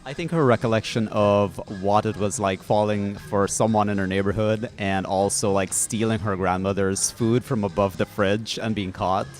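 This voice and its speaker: A man's voice: on the low side, steady tone, normal speed, hardly any pauses, faint music behind. Eager young-sounding man from Guyana. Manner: enthusiastic, stumbling on restarts. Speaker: theatregoer being interviewed. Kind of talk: casual interview answer.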